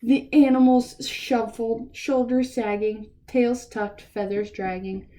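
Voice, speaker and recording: Slow-paced, mellow woman reading to children. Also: on the high side, low-quality recording. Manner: expressive, addresses children with questions. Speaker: read-aloud storyteller for children. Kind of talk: animated picture-book reading with asides to young listeners.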